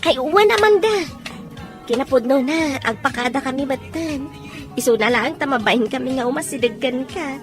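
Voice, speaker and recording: A woman's voice: high voice, lively intonation, normal speed, over music. A woman playing a gossipy, friendly young friend of the bride in a radio drama.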